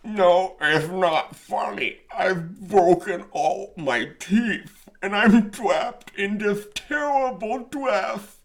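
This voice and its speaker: Big bad wolf: deep, growling, boastful schemer with a gravelly edge, played by a man. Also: big pitch swings, unhurried, bright, forceful tone, full character voice. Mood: wailing.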